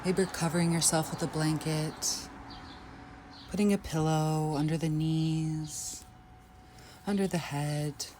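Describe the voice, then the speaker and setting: A woman leading a guided yoga nidra meditation in a soft, unhurried, inviting way. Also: deep voice, fairly even intonation, slight background noise.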